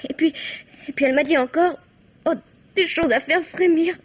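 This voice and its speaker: An actress playing an anguished, headstrong twenty-year-old daughter in a melodramatic, breathless, stammering 1950s radio mystery style. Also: female voice, sits high, moderate pace, natural voice. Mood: anxious, distraught. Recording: dull vintage radio drama recording.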